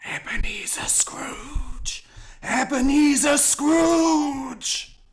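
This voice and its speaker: A man playing the solemn ghost of a dead business partner in a radio play, with drawn-out, portentous delivery. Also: extremely high pitch, exaggerated voice. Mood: wailing summons.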